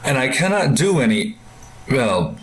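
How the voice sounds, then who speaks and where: An actor playing a fussy, self-important survivalist recording a formal, precise log in a post-apocalyptic audio drama. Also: male voice, medium pitch, very animated, unhurried, noisy background. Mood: conflicted, justifying. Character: fussy, pompous male survivalist logging life in a sealed bunker. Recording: loud music under the voice.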